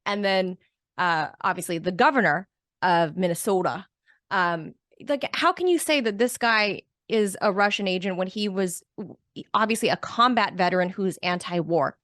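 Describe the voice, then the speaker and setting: A woman's voice: medium pitch, normal speed, a bit bright, dry recording. Woman guest on a podcast, emphatic, lots of ums, dry close sound. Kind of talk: casual conversational chat.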